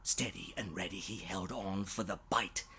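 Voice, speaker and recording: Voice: deep voice, normal speed, gravelly, bright, forceful tone, a little hiss or hum. A storyteller reading rhyming tales for children in a playful, dramatic way, drawing out words and sometimes dropping toward a whisper. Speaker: storyteller reading own stories.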